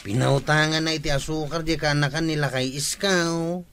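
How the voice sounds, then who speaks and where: A man's voice: fairly high pitch, fairly even intonation, normal speed, a bit bright, faint music behind. An actor playing a gossipy village man in an Ilocano radio comedy drama, with an Ilocano accent.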